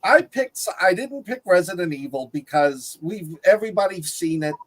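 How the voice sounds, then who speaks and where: A man's voice: high-pitched, animated, moderate pace. A man co-hosting a film review show, talking casually with the other panelists. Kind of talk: casual panel chat about films.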